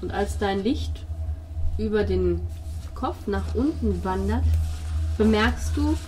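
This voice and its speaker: A woman narrating a tabletop role-playing game as game master, describing scenes in a halting storytelling way. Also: medium pitch, low-quality recording.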